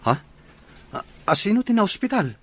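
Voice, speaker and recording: A man's voice: sits high, very animated, normal speed. A man playing a busy, concerned younger brother in a radio drama. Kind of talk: Ilocano radio drama acting.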